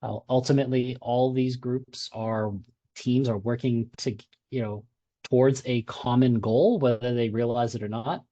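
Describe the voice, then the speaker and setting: A man with a Boston accent presenting at a user group meetup. His voice is mellow and dark. He talks conversationally, with frequent fillers and restarts. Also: medium pitch, steady tone, moderate pace, muffled recording.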